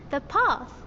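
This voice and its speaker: A woman voicing a serene, cheeky child monk who speaks in measured riddles in a video game. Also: very high voice, pushed and bright, dull audio, a little hiss or hum, full character voice. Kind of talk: video game cutscene acting.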